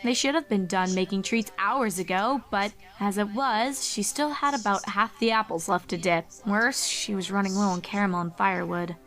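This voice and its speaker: A woman's voice: medium pitch, normal speed, hardly any pauses, fairly bright tone. A woman reading a horror fan fiction story aloud as its narrator.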